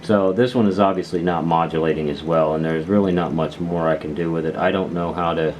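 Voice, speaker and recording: Casting: low-voiced male hobbyist, casual hands-on demo talk, rambling and hesitant, clearly noisy recording. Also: steady tone, brisk, darkish tone. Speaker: radio hobbyist reviewer. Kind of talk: casual unscripted product demonstration.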